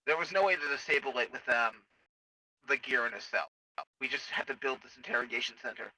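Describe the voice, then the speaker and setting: A man's voice: mid-range voice, brisk, bright, forceful tone, slight background noise, low-quality recording. A man playing a clinical sci-fi military medical technician in an improvised game roleplay, explaining things in a formal, hesitant way. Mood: clinical, detached.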